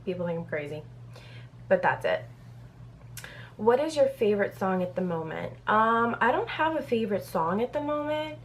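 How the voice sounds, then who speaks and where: A woman's voice: medium pitch, animated, normal speed. A woman in her thirties from Brazil, chatting casually through a get-to-know-me Q&A on her vlog and reading questions off a paper with plenty of "um"s. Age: 30s. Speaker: beauty vlogger.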